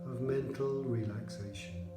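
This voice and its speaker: A man leading a guided yoga relaxation as an instructor, speaking in a measured, soothing manner with pauses. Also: medium pitch, roomy sound, over loud music. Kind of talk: guided relaxation meditation narration.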